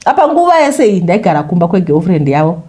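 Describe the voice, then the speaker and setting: A Zimbabwean woman narrates a personal confession at a brisk pace. Her voice is soft-edged and mellow, sitting a little low. She keeps it conversational, slipping English phrases into the story. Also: sing-song. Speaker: YouTuber reading a listener's confession.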